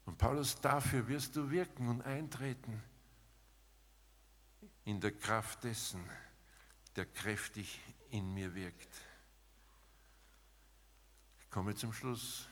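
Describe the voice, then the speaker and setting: A man preaching a sermon in an emphatic, rhetorical manner, setting out short phrases with pauses between them. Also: lowish voice. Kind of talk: sermon with dramatized paraphrase, closing in prayer. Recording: long hall reverb.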